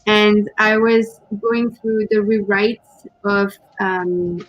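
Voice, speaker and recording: A woman whose first language is not English, chatting casually as co-host of a writing stream, often pausing and hunting for words. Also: mid-range voice, steady tone, no room sound, highs cut off. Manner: hesitant, searching for words, giggly. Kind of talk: casual chat on a writing livestream.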